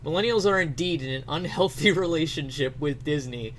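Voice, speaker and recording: A man with a fairly high voice performs a mock dramatic reading. He is theatrical and sarcastic, slipping into offhand asides. Some noise sits behind the voice. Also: lively intonation, fairly quick, a bit bright. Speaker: streamer doing dramatic readings.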